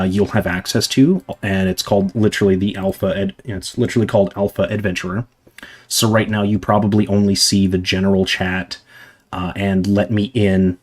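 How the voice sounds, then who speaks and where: A young man chatting casually to his viewers on a livestream, rambling with lots of fillers and a voice going slightly hoarse from talking a long time. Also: deep voice. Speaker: livestreamer answering chat.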